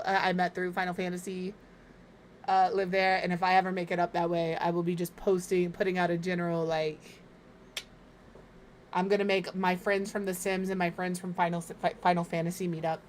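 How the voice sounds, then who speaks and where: A woman from Minnesota streaming from home, chatting casually and rambling, her voice slightly hoarse and crackly as it recovers. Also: lowish voice. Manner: rambling, chatty, self-deprecating. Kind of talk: casual chat while gaming.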